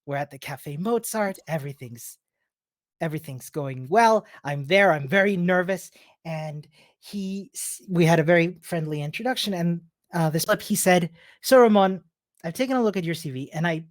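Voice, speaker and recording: A man's voice: on the high side, expressive, moderate pace, no room sound, studio quality. A man from Chile giving a conference talk as a personal story, in a friendly, informal manner. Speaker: conference speaker, software developer. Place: remote virtual meetup presentation.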